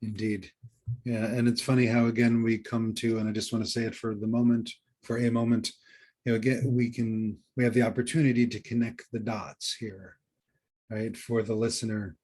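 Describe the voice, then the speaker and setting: A man co-hosting a podcast, coaching casually in a halting way, circling back and checking for agreement. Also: lowish voice, level tone, darkish tone. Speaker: podcast co-host.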